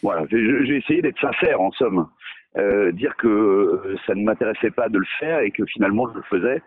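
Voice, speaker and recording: A man's voice: fairly low pitch, moderate pace, no room sound. Man, novelist guest, hesitant, self-deprecating, very dry close sound. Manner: hesitant, many fillers and restarts, self-mocking. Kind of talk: radio interview.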